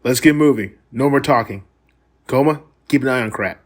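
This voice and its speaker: A man's voice: mid-range voice, moderate pace, lightly acted. A man acting a stern but loyal mercenary squad leader in a sci-fi audio drama.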